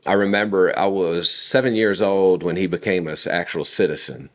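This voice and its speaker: Very deep-voiced man telling stories. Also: moderate pace. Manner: relaxed storytelling with frequent fillers. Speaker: podcast guest. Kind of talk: casual conversation.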